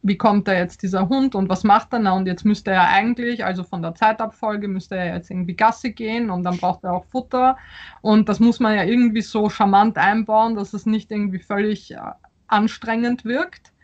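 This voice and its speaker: A woman's voice: medium pitch, fairly even intonation, moderate pace, lo-fi sound. Thoughtful bilingual woman, hesitant delivery. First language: Polish. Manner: thoughtful, hesitant, many fillers and restarts. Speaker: author. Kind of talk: interview answers.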